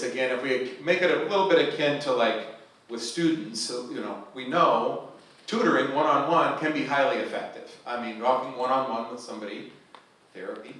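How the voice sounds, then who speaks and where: A man speaking on a panel in a measured, explanatory way. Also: mid-range voice, a bit bright. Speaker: panelist, professional learning leader. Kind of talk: panel discussion. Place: large echoey event hall. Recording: echoey hall, mic far off.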